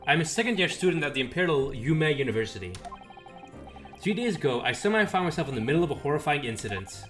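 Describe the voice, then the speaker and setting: A man's voice: a little high, very animated, fast talker, faint music behind. A man voicing an anxious, apologetic young student accused of a crime in a visual novel game, nervous and pleading.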